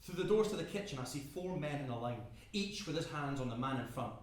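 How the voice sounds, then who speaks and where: An actor playing a desperate oil rig worker fleeing a fire, with intense, emphatic delivery in a dramatic monologue. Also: male voice, on the high side, animated, fast, natural voice. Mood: urgent, breathless. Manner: intense, emphatic, swinging into screams. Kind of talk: dramatic first-person stage monologue. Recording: very echoey room.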